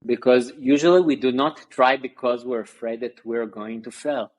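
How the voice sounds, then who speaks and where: A man, a guest chatting on a video call in a halting way with many fillers and restarts. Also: mid-range voice, poor audio. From Israel. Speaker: guest founder. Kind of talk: casual interview chat.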